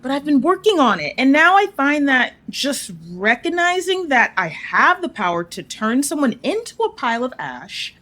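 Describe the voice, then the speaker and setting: A woman's voice: high voice, very animated, normal speed, few pauses, fairly bright tone. A woman playing a brash, generous woman with dangerous powers in an audio drama, speaking bluntly and informally with wry humor. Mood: frank, animated. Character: brash, generous woman who can turn threats to ash.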